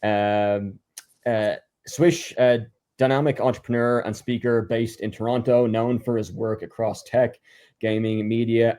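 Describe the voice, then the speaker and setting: A man's voice: mid-range voice, dark tone. A man hosting a podcast interview, hesitant with many fillers and restarts, reading out a guest introduction. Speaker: podcast host.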